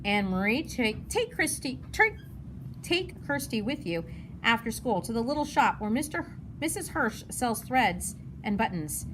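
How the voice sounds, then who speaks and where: A woman voicing a gentle, caring mother of young girls in a storybook. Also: a little high, very animated, normal speed, slight background noise. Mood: brisk, concerned.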